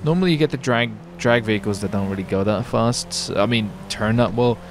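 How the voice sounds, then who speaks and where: A man commentating his roleplay gameplay, excitable and hyped, his voice jumping when something surprises him. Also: on the low side, fairly quick, background noise. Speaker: gameplay streamer.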